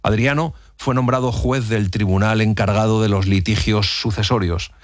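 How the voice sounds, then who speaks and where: A man narrating a historical documentary in an even, authoritative storytelling manner. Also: on the low side, moderate pace, dry recording, clean studio sound. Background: faint music.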